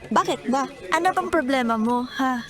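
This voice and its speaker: A woman's voice: high voice, expressive, normal speed. A woman playing a chatty, pert young office friend in a Filipino audio drama, with a Filipino accent. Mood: sassy. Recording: loud music over the voice.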